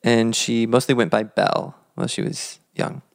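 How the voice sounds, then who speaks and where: A man in his thirties co-hosting a podcast, telling history in a measured, reflective, conversational way. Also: medium pitch, steady tone, gravelly, dry recording, clean studio sound. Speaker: podcast co-host.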